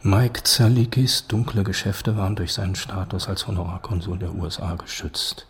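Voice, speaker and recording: A man acting as a sober narrator, delivering scripted factual radio narration in a measured, formal manner. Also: low-pitched, natural voice. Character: sober male documentary narrator.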